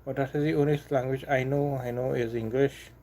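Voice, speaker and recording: A man from Trinidad with a Trinidadian accent, hosting a casual live stream and chatting with viewers in a halting, repetitive way. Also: medium pitch, steady tone, mellow, a little hiss or hum, rough recording. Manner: halting, repeats himself, apologetic then defiant. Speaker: livestream host.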